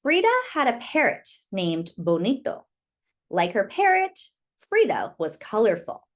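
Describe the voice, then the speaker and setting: A woman's voice: mid-range voice, very animated, measured pace. A woman reading a picture book aloud to young children, expressively and with little asides to her listeners.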